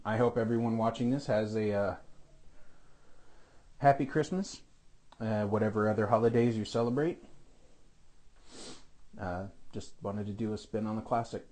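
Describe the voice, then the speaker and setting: A man's voice: fairly low pitch, steady tone, normal speed, pauses often, a little mellow. Male amateur performer, casual viewer address, understated and informal.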